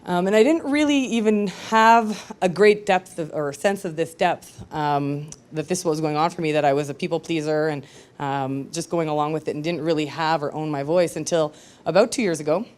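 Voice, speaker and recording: A woman's voice: deep voice, moderate pace, darkish tone. Candid woman telling her story on stage. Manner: candid, reflective, with frequent hesitations. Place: live storytelling event with audience.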